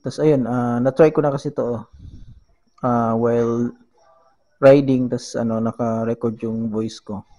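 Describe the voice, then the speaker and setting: A man with a Filipino accent giving a casual how-to demonstration as a vlogger, halting as he searches for words and mixing two languages. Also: mid-range voice, steady tone, dark tone. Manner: halting, searching for words, switches between Tagalog and English. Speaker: vlogger giving a gadget tutorial.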